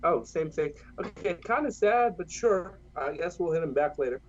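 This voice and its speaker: A man's voice: a little high, lively intonation, moderate pace. A man telling crude stories and teasing his friends in a rowdy casual chat. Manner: crude, joking, storytelling. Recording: dull lo-fi mic.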